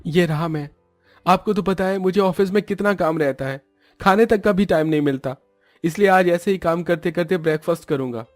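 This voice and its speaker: A man's voice: a little high, no echo, studio quality, lightly acted. A man voicing a caring, anxious young husband and father in a cartoon, earnest and emotive in manner.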